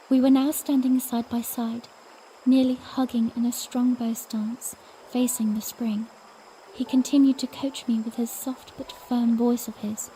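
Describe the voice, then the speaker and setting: A woman playing a spirited, tender young princess who recounts her adventure in expressive storybook phrasing for an audiodrama. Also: a little high, slight background noise, lightly acted, poor audio. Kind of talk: first-person audiodrama narration with acted dialogue.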